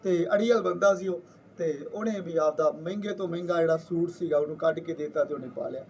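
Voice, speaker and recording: A man's voice: on the high side, a little fast, darkish tone, far from the mic, highs cut off, a little hiss or hum. A man with a Punjabi accent telling a folktale informally, in a colloquial, conversational way with repetitions. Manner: colloquial, conversational, repeats himself. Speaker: storyteller. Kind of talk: informal oral folktale storytelling.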